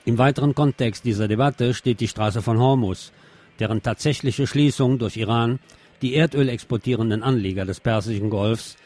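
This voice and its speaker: A man's voice: mid-range voice, normal speed, a little mellow. A man presenting scripted news commentary as a radio presenter, with pointed, sardonic phrasing.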